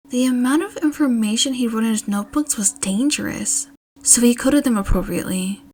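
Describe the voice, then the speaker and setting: A woman with a fairly high voice reads fiction as a narrator. The delivery is scripted storytelling, in the manner of an amateur audiobook. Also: darkish tone.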